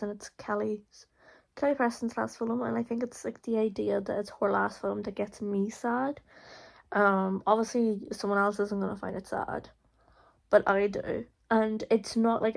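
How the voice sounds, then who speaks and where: A young woman with an Irish accent talks her way through a Q&A for her vlog. Her voice sits mid-pitch with a gravelly fry at the edges. She speaks loosely and bluntly, padding with "like" and "um.". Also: darkish tone. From Ireland. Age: young adult. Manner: chatty, frequent "like" and "um" fillers, blunt swearing. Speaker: vlogger. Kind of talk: casual Q&A vlog.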